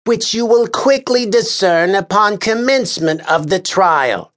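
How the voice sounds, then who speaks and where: Man doing a high-pitched officious bureaucrat, vain, short-tempered, condescending, very lively in pitch. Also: a little slow. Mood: exasperated. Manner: exasperated, precise, condescending. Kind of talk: audiobook character voice.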